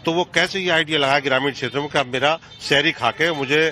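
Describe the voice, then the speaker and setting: A man's voice: on the high side, fast talker, pushed and bright. A man speaking off the cuff as a politician answering an interview.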